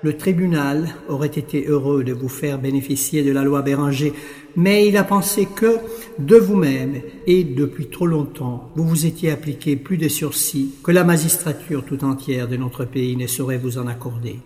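Magistrate, played by a man, audio drama: wordy, haughty, dryly sardonic, slightly high, heavy courtroom reverb. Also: moderate pace, dark tone, close to an everyday voice. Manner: wordy, formal. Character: pompous, sardonic male court judge. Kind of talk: French audio drama acting. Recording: very echoey hall, long reverb.

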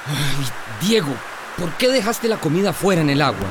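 A man's voice: on the high side, very animated, moderate pace, background noise. An actor playing an exasperated, scolding young male business partner in a radio drama. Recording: loud music under radio drama.

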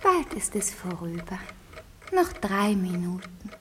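An actress playing a defiant young damsel in distress, overwrought and declamatory, in a 1950s German radio parody. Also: female voice, mid-range voice, very animated, slow pace. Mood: solemn, hushed. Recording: noisy, hissy old radio recording.

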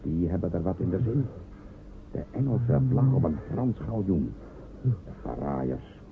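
A man's voice: low-pitched, sing-song, unhurried, creaky, background noise, exaggerated voice. An actor playing a proud, pompous man who barks orders at a frightened helper in a radio drama. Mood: commanding. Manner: commanding, pompous, barking orders. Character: proud blustering coastal man giving orders. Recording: tinny lo-fi old radio recording.

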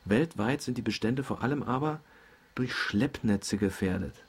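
Husky middle-aged grumbling dad. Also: male voice, on the low side, lively intonation, moderate pace. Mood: absorbed. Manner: muttering to himself. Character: grumbling, excitable middle-aged father and amateur investigator. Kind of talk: German radio drama acting.

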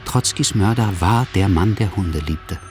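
A man's voice: low-pitched, a little slow, a little mellow, soft background music. A man giving scripted, literary narration in a radio drama.

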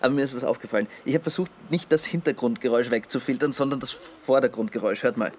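A man's voice: mid-range voice, racing, darkish tone, slight background noise, close to an everyday voice. An actor playing an eager male IT expert at an intelligence service in a radio drama.

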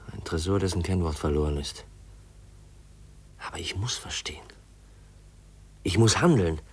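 An actor playing an earnest, determined young heir in a 1950s German radio play, speaking formally. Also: male voice, fairly low pitch, darkish tone, breathy edge. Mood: brooding, searching. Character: earnest, determined young male heir raised among circus artists.

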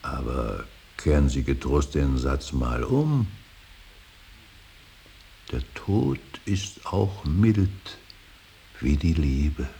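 An actor playing an older, grieving father in a radio drama, brooding and solemn, with halting, weighty declamation that often sinks into breathy whispers. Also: a bass voice, warm tone, full character voice. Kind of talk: German radio drama acting, solemn monologue. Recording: hissy, faintly noisy radio recording.

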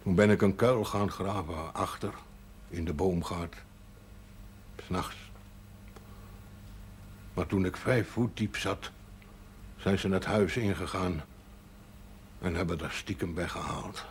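An actor playing a gruff, stubborn, earnest rural man in a naturalistic television period drama. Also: low voice, slow, pauses often. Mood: brooding. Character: gruff, stubborn rural man, former poacher turned faith healer. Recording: 1970s TV audio with faint noise.

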